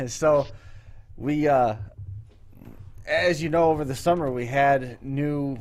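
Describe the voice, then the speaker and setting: Unhurried man telling everyday stories. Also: fairly high pitch, steady tone, a little mellow. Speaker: talk show host. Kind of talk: casual chat.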